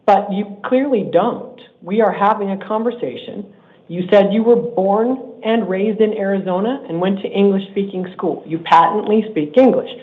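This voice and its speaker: Man, judge, stern, measured, repeats himself, tinny court audio. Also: sits high. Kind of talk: court hearing.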